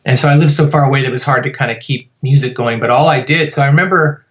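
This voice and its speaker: A man's voice: medium pitch, quick pace, highs cut off. A man as a podcast guest, telling his story in a rambling way with many fillers and restarts. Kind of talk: casual storytelling interview.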